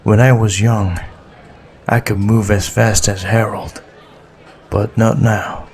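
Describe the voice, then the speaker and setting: A man's voice: lowish voice, flat delivery, slow pace, darkish tone, a faint crowd. A man voicing a weary, ageing man thinking to himself with resignation in an audiobook. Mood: weary, regretful. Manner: reflective inner monologue.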